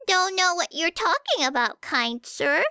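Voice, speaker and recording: Very high, grating little-kid voice. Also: female voice, lively intonation, unhurried, pushed and bright, no room sound, clean studio sound, exaggerated voice. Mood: mocking, fake-innocent. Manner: exaggerated mock-sweet taunting. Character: mock small child, grating, creepily fake-innocent. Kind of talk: audiobook character dialogue.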